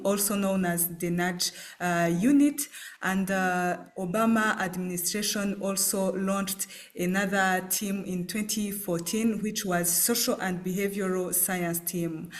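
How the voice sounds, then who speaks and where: A woman from Rwanda giving a class presentation, speaking with frequent filler sounds. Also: medium pitch. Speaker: student presenter.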